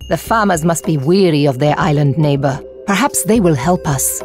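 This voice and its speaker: A woman's voice: low-pitched, normal speed, over music, close to an everyday voice. A woman voicing a stern, proud Egyptian warrior priestess in a video game, terse and commanding. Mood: cold vengeful. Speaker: game character, war leader. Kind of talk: video game cutscene acting.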